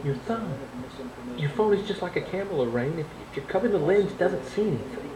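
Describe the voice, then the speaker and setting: A woman's voice: fairly low pitch, expressive, a little fast, a crowd in the background, natural voice. A woman playing an irritable, paranoid, sardonic doomsayer with a curt manner in a radio drama. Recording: echoey room playback.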